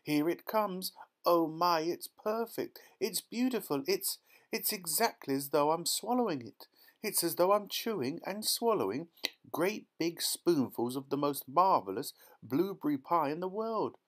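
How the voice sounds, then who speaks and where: A man voicing a brash, boastful, gum-chewing little girl who gushes excitedly in a children's storybook. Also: a little high, normal speed, voice pushed up. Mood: ecstatic. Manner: gushing, excitable. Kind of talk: dramatised children's storybook reading.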